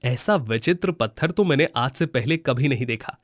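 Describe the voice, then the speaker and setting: A man's voice: medium pitch, expressive, moderate pace, no echo, clean studio sound, close to an everyday voice. A man playing a humble, pious village butcher in a cartoon moral story, speaking earnestly. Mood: curious, musing. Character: devout, humble male village butcher, gentle and wondering.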